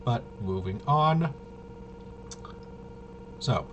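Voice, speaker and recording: A man giving a casual, off-the-cuff rules walkthrough as a tabletop game reviewer, in a halting, mumbling way. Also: mid-range voice, lively intonation, highs cut off. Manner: halting, mumbling through half-read rules. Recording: clearly noisy home recording.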